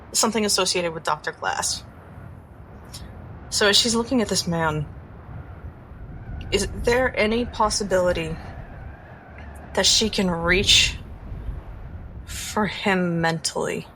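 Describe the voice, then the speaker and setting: Bright-toned woman playing a tabletop game. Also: lowish voice, slight background noise, rough recording. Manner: thoughtful, talks through her ideas. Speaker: player. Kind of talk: describing her character's actions.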